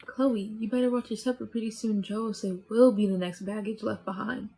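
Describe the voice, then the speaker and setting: A placating, timid member of a gangster's party, acted by a young woman. The voice is mid-pitched and mellow, in a stage radio play with faint noise. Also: fairly even intonation, brisk, lightly acted. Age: teenager. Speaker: student actor.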